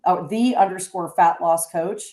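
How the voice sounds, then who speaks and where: A woman hosting a radio talk show, chatty and halting, with many restarts. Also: lowish voice, darkish tone, reverberant. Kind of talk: casual radio chat.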